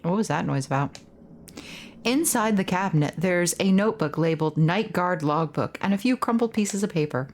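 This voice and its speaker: Lady streaming a game, lower voice, reads the text out all dramatic, bit of noise behind her. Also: animated, moderate pace, a little mellow. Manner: reads dialogue theatrically between casual asides. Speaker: streamer reading game dialogue aloud. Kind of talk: let's play narration, reading on-screen text aloud.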